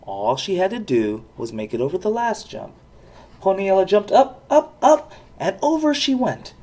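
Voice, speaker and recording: A parent reading a children's storybook aloud with expressive narration. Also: medium pitch, normal speed.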